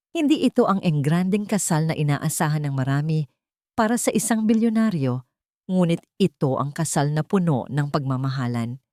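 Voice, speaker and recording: A woman's voice: low voice, mellow, dry recording, studio quality. A woman reading a scripted love story as an audiobook narrator.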